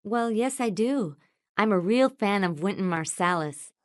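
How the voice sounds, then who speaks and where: A woman's voice: mid-range voice, expressive, unhurried, natural voice. A woman voicing an enthusiastic chatting woman in a scripted English lesson dialogue.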